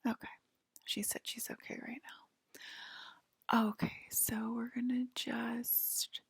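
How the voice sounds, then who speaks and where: A woman softly whispering an ASMR roleplay to the listener as a polite, attentive alien abductor, pausing often. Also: a little high, flat delivery, creaky, husky, dry recording. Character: polite, attentive female alien abductor queen acting as medical examiner. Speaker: ASMR performer.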